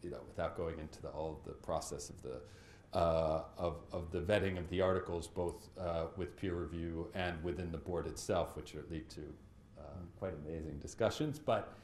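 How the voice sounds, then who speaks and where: A very low-voiced man speaks on a panel, animated but halting, repeating words as he builds his point. The hall carries a noticeable echo, and a faint hiss sits underneath. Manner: animated, halting, with fillers and laughs. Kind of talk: panel discussion. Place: lecture hall stage, panel table. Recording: echoey hall, faint noise.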